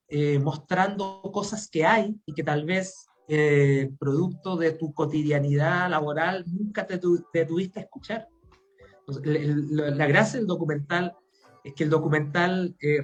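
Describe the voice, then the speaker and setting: A man with a Chilean accent gives a reflective explanation as an interview guest. His voice is on the high side. He talks haltingly, often searching for the next word. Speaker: interview guest, academic and playwright.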